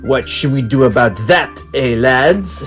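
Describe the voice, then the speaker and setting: Man doing a loud blustering pirate captain. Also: mid-range voice, animated, measured pace, soft background music. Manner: loud, bossy, shouting.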